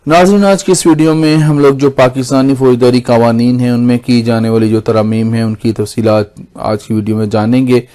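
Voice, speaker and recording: A Pakistani man presents like a lawyer walking viewers through a lesson. His voice is mid-pitched and quite mellow, with very little melodic movement. He keeps a formal manner and often rephrases what he has just said. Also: level tone, fairly quick, few pauses. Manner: formal, repeats and rephrases points. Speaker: lawyer presenting legal explainer videos. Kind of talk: explanatory lesson, semi-scripted.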